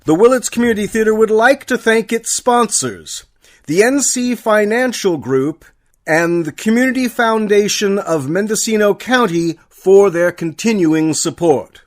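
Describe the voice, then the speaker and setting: A man's voice: sits high, animated, measured pace. A man reading a scripted radio announcement as the show's closing announcer. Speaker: director and credits announcer.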